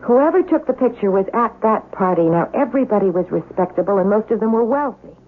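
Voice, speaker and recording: An actress playing an anxious but defiant film star caught in blackmail, in old-time radio drama style. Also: female voice, mid-range voice, expressive, fairly quick, phone-quality sound, close to an everyday voice. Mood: indignant. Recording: thin, band-limited old radio broadcast.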